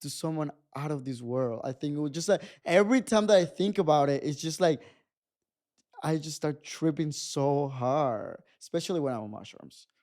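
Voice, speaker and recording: Young man, Mexican accent, podcast guest; lively, restart-heavy chat in a slightly high voice. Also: normal speed. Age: 20s. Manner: animated, restarts sentences often. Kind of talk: casual chat.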